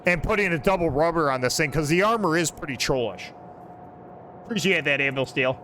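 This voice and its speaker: A man's voice: on the high side, expressive, fairly quick, bright, forceful tone, a little hiss or hum. A man in his forties casually commenting on his own gameplay, rambling and joking with a friend over a desk mic. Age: 40s. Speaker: gaming channel host.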